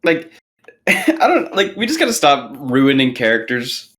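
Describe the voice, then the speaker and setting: A man's voice: medium pitch, huge pitch swings, unhurried, fairly bright tone. A man talking casually as a podcast panelist, informal and rambling, circling back over his words. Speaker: podcast panelist discussing films. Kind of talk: casual chat.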